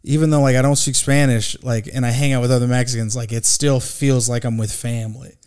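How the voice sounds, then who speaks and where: A man's voice: mid-range voice, steady tone, fairly quick, darkish tone, smooth. A man in his thirties from San Jose hosting a podcast, chatty, often laughing and swearing. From San Jose, California. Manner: giggly, swears a lot, eager. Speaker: podcast host, comedian. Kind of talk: casual chat. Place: podcast studio with brick wall. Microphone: boom studio mic.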